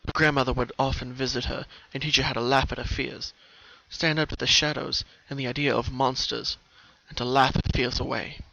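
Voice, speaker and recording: A man's voice: medium pitch, steady tone, brisk, vocal fry, bright, forceful tone, no echo. A man reading a story aloud as narrator, in a dramatic style. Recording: dull, muffled home recording.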